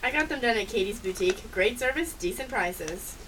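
A woman's voice: fairly high pitch, animated, fairly quick, fairly bright tone. A woman playing a bossy, sarcastic female cat with world-domination ambitions in an amateur audio comedy. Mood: pleased. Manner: sarcastic, insulting, superior. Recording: distant mic, faint background hiss.

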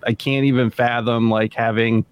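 A man in his thirties hosting a relaxed conversation, with an ordinary mid-range voice. He reacts with quick enthusiasm and easy jokes. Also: medium pitch, clean tone, no room sound, lo-fi sound. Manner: enthusiastic, affirming listener. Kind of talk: casual conversation.

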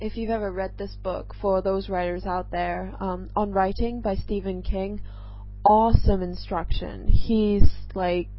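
Young english-born woman w/ a mostly american mishmash accent, slow nervous rambly podcast chat, lots of ums, dull lo-fi sound. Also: medium pitch, fairly even intonation. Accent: mixed, mostly American-sounding. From England. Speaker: podcast host. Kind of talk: casual solo chat. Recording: dull lo-fi recording, faint music.

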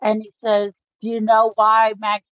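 A woman's voice: fairly high pitch, monotone, a little slow, no echo. A woman co-hosting a podcast in a casual book discussion, explaining things like an enthusiastic fan. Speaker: podcast co-host. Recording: slightly dull, dry recording.

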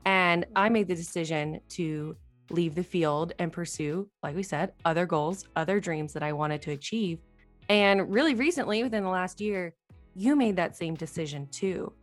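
A young woman hosts a relaxed podcast conversation, eager and encouraging as she sets up questions for her guest. Her voice is a touch lower than most women's, with soft music in the background. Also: normal speed. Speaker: podcast host. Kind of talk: casual chat.

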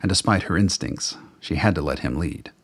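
A man narrating a radio drama in a measured storytelling way, with a gravelly, husky voice. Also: sits low.

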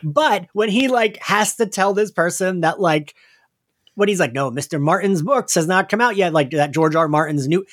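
A man's voice: high-pitched, moderate pace, a bit bright. A man co-hosting a podcast, chatting casually and animatedly as he recaps a story and acts out little quotes. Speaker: podcast co-host.

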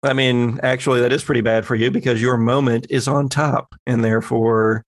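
A man's voice: medium pitch, level tone, fairly quick, no echo, studio quality. A man acting as game master, narrating and refereeing a tabletop horror roleplaying game in a conversational way.

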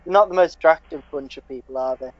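A man trades dry, teasing remarks and quick asides in a casual comedy chat. His voice is muffled and slightly dull in the recording. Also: a little high, soft background music. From Liverpool, England.

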